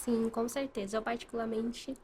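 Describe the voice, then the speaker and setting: A woman from Brazil hosting a podcast interview, asking her guest friendly, measured questions. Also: fairly high pitch, level tone, dark tone, slight background noise. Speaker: podcast host.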